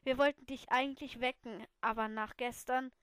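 A woman's voice: high voice, fairly even intonation, normal speed, fairly bright tone, clear, resonant tone, no echo. A woman voicing a cheerful teenage schoolgirl in a story, a best friend with a confiding, girlish manner.

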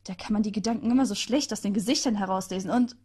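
A woman reads a first-person story aloud at a middle pitch. She chats along with sarcastic little asides, like an amateur audiobook. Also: rapid-fire, dark tone, husky. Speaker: narrator.

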